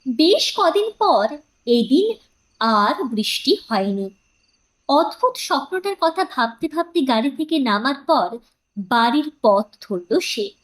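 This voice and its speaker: A woman narrating a scripted story in a measured, expressive storytelling manner. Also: sits high, poor audio.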